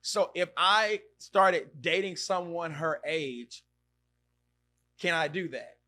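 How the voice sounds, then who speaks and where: Bright, lively man in his thirties. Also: on the high side, slow. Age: 30s. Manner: animated, exclaims and reacts loudly, repeats himself. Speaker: podcast host. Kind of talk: casual live-stream chat reading comments.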